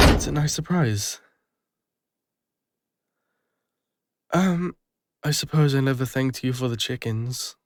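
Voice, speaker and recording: A man with an English accent playing a gentle, bashful lone ranger and former healer in an intimate audio roleplay, speaking hesitantly. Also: mid-range voice, no room sound, clean studio sound. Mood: pleasantly surprised. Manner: hesitant, soft-hearted, halting. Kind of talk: intimate scripted audio roleplay addressed to the listener.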